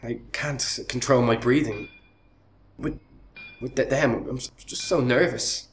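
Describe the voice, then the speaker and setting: A shy young apprentice acted by a man in a radio play. He stammers and hesitates nervously in a mid-pitched voice. The sound is roomy, with faint workshop noise behind. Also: lightly acted. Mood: anxious, frustrated. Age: young adult. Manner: hesitant, halting. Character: shy, nervous eighteen-year-old male apprentice with a stammer.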